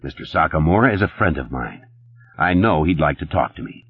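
A man voicing a calm, unruffled police captain who speaks quietly with restrained menace. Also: extremely low pitch, brisk, breathy edge, phone-quality sound, full character voice. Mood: calm, menacing.

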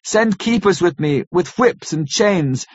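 A frantic male asylum inmate, as a man puts him on, pours out impassioned pleas in a husky, strained voice. The reading sits higher than a plain narration and leans into desperation. The recording is dry and slightly muffled. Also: expressive, slow, no echo, heavily acted. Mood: desperate pleading. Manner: pleading, impassioned, imploring.

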